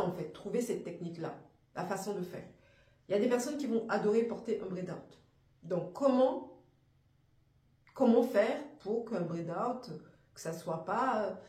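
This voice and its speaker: A woman with a hoarse voice from a cold, presenting an afro hair care course in a halting, thinking-aloud, earnest way. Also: medium pitch, darkish tone, roomy sound. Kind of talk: informal spoken course presentation.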